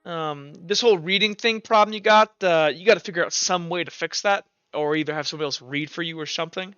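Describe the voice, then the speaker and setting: A man's voice: fairly high pitch, fast talker, a bit bright, clean tone, no echo, lo-fi sound, soft background music, close to an everyday voice. A man voicing a blunt, hard-drinking male professor who swears and rambles with fillers.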